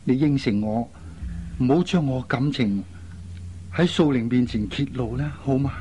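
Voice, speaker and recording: A man's voice: mid-range voice, measured pace, dark tone, natural voice. A man acts a young, lovelorn Cantonese man in a radio drama. His mid-range voice is restrained and earnest, shy and honest, over faint recording noise. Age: young adult.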